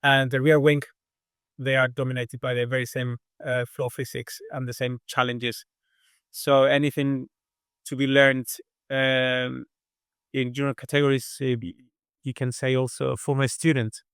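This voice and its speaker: Spanish-accented man, smooth steady voice. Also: medium pitch, no echo, clean studio sound. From southern Spain. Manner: measured, thoughtful, with many hesitations. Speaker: aerodynamics engineer, guest. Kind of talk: interview answers.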